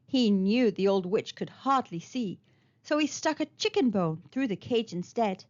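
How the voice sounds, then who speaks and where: A woman narrating a children's storybook aloud in an expressive storytelling style, on a slightly muffled recording. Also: medium pitch, normal speed.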